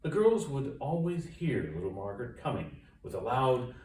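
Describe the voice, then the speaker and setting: Animated male storyteller in a roomy space. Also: mid-range voice, normal speed. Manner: expressive, dramatic emphasis and sound-effect words. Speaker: author reading own story aloud. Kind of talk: children's storybook read-aloud. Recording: roomy echo, distant mic, faint noise.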